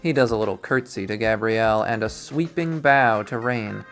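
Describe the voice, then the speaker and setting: A streamer narrating a visual novel readthrough in an expressive, performative way. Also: mid-range voice, moderate pace, faint music behind. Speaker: video game readthrough streamer.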